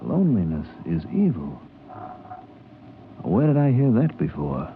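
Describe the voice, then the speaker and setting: An actor playing an easygoing spaceship purser in a 1950s radio drama, with a colloquial manner. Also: male voice, on the low side, very animated, unhurried, very noisy. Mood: dismissive, puzzled. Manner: colloquial, hesitant in dialogue. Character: easygoing spaceship purser recounting his voyages. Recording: tinny vintage radio broadcast, music behind.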